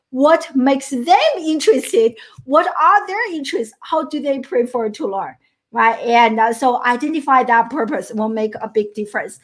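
A middle-aged woman from China speaks with a Chinese accent and a high voice. She gives a motivational coaching talk in an earnest way, restarting phrases and checking in with her listeners. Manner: earnest, many restarts and "right?" tags.

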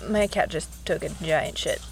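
A young woman with a low voice co-hosting a podcast, chatting casually and rambling with many restarts. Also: unhurried, gravelly, low-quality recording. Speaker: podcast co-host. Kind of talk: casual chat.

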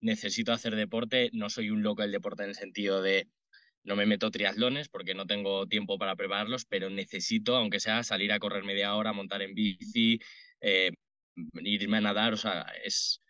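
Male, thirties, Madrid accent. Lowish pitch, very sharp bright tone, close dry recording. Colloquial podcast guest. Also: flat delivery, normal speed, no room sound, muffled recording. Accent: Madrid Spanish. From Madrid, Spain. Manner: informal, colloquial. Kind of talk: conversational interview answers.

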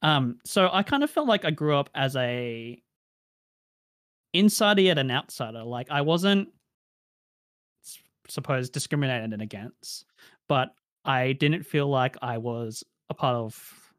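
A man's voice: mid-range voice, expressive, unhurried, pauses often, fairly bright tone, clean tone, no echo, studio quality. A young man with an Australian accent, answering interview questions in a casual, reflective way with many fillers.

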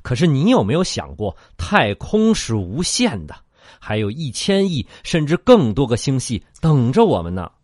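A man's voice: medium pitch, wildly swooping pitch, normal speed, darkish tone, no room sound, clean studio sound. A man playing a cautious, optimistic space-fleet data analyst in a science-fiction radio drama. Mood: reluctant.

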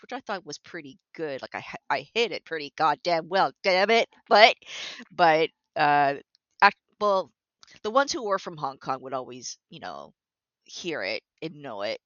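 A woman talks in an animated, swooping voice, often stopping and restarting her sentences. Her audio sounds dull and muffled, with a dry, close feel. She speaks as a guest in a relaxed interview. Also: deep voice, moderate pace, no echo. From Canada. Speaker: concept artist, guest. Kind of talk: conversational interview.

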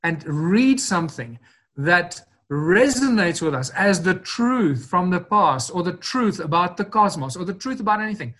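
Earnest man, slightly higher voice. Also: big pitch swings, moderate pace, fairly bright tone. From Southern Africa. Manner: earnest, expansive, repeats words and restarts phrases. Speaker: interview guest, researcher of ancient ruins. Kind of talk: long-form podcast interview.

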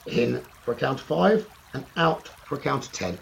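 A man leading a guided meditation slowly and soothingly, in short phrases with long pauses. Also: medium pitch, lively intonation, vocal fry. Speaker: meditation teacher. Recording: running stream water noise behind voice. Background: trickling stream water ambience.

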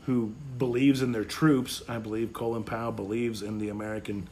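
A man's voice: lowish voice, little pitch movement, fairly quick, a little mellow. A blunt, sweary man you'd hear on a homemade politics podcast, repeating his point over faint room noise. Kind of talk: casual political chat.

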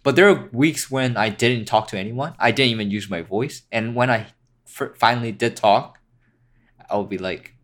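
A man's voice: mid-range voice, normal speed, a bit bright. A young man chatting as a podcast guest, laid-back and teasing, laughing at his own jokes. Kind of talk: casual chat.

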